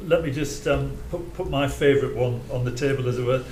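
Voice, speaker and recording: A man's voice: mid-range voice, a little mellow. A man chairing a formal board meeting, thanking speakers and passing the floor along courteously. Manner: courteous, brisk moderator. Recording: echoey, noisy meeting room.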